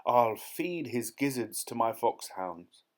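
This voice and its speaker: A man's voice: mid-range voice, unhurried, voice pushed up, lightly acted. A man voicing a pompous old duke who bellows his lines. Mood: furious. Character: blustering old male aristocrat duke.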